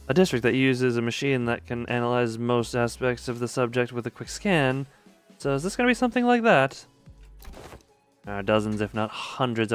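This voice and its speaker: A man's voice: mid-range voice, clean tone. A man on a game livestream, reading dialogue aloud and making casual remarks.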